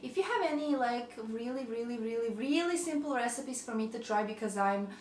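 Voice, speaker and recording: A woman's voice: a little high, lively intonation, a little fast, a little mellow, echoey room, slight background noise. A young woman with a Croatian accent, chatting casually and rambling a little while she bakes at home, often laughing at herself. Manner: chatty, rambling, self-deprecating. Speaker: vlogger. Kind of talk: casual kitchen chat. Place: home kitchen.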